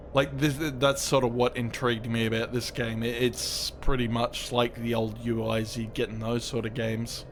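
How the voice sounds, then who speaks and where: A man's voice: mid-range voice, slight background noise. A man doing casual, rambling game commentary in an understated, offhand way, sometimes reading text aloud as he plays. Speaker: livestreamer playing a game. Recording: creepy ambient music behind. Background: creepy ambient game music.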